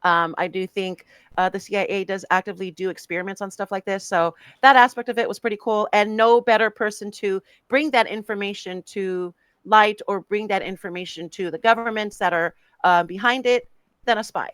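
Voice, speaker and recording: A woman's voice: medium pitch, brisk, a bit bright, clear, resonant tone, no echo. A woman co-hosting a casual film podcast, talking animatedly with frequent laughs and many restarts. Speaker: podcast co-host. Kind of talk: casual podcast chat about films.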